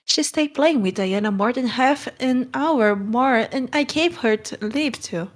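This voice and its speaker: A woman's voice: on the high side, lively intonation, a little slow. A woman playing a stern but kind middle-aged guardian in a storybook read-along, brusque and scolding. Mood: exasperated. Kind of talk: acted audiobook read-along.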